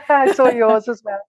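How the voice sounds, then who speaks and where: A middle-aged woman author giving a conversational interview, thoughtful and informal, on a lo-fi recording. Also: sits high. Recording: dull, lo-fi remote sound.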